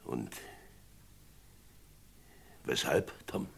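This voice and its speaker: An actor playing a theatrical, boisterous small-town sheriff of the Wild West in a German radio crime drama, with a gravelly, husky voice. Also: male voice. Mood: stunned, probing. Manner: theatrical, exclamatory, bantering then halting.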